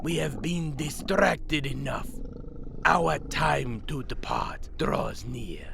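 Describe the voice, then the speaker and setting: Cold menacing vampire boss for a radio play, super slow croaky savoring drawl, growly noise behind him. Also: male voice, mid-range voice, very animated, exaggerated voice. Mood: amused contempt.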